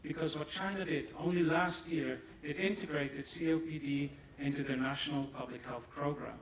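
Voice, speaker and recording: A man whose first language is German, giving a measured, emphatic conference presentation in English in a large hall, picked up from a distance. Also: a little high, fairly even intonation, noisy background. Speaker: pharma company presenter. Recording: echoey hall, distant mic, audience.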